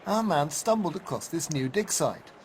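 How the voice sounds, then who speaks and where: An actor playing a businesslike newsman in a video game, explaining things in a measured way. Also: a little high, expressive, slight background noise. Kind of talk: video game cutscene acting.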